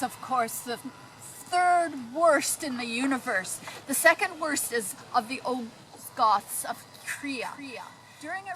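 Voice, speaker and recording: A woman acting the deadpan guidebook narrator of a comic radio play, reading scripted absurd facts. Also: a little high, natural voice. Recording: noisy background ambience.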